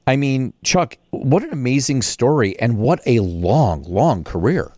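A man's voice: mid-range voice, expressive, measured pace, warm tone, no echo. A man hosting a radio interview, moving between scripted introduction and conversational questions. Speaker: radio host.

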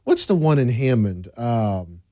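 Guy from south louisiana on talk radio, dry close mic, softer voice, easygoing pauses between thoughts. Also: medium pitch, very animated, dry recording. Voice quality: softer, less projecting voice. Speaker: radio talk show host. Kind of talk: casual radio chat with listener messages. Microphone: close studio microphone.

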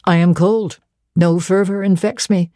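A woman voicing a stern, cold young male clergyman who speaks in a grave, dry, measured way. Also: on the low side, steady tone, mellow, dry recording, close to an everyday voice. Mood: stubborn.

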